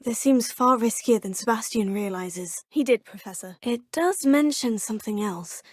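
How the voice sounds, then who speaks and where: A woman's voice: on the high side, moderate pace, no echo, natural voice. A woman voicing a polite young British witch. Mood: curious, polite. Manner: polite, composed, slightly formal. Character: young female witch student, polite and curious. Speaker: player character in a video game. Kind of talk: game dialogue lines. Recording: dry studio recording.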